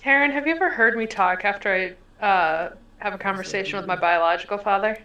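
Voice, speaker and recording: A woman's voice: mid-range voice, quick pace, dull audio. A woman who jokes bluntly and sounds tired, chatting before a tabletop game. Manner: blunt, dark joking, tired. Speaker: player.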